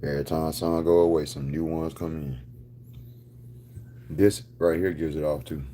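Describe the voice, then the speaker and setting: A man's voice: rumbling low, fairly even intonation, moderate pace, mellow, a little hiss or hum. A man in his thirties talking casually to camera, boastful and rambling, full of street slang. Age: 30s. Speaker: vlogger.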